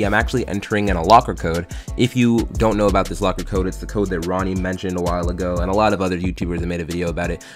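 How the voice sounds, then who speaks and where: A young man giving casual voice-over commentary on his game footage, rambling with lots of fillers and restarts. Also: low-pitched. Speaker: gaming YouTuber.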